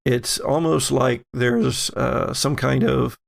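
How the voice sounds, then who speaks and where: A man's voice: medium pitch, fairly even intonation, slow, creaky. A man narrating a tabletop roleplaying game as the game master.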